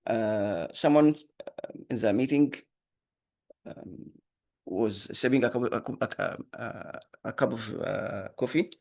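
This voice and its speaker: A man whose first language is Arabic, teaching an online programming class, halting with many fillers and restarts. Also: on the low side, vocal fry, a little mellow. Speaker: instructor. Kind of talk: online lecture.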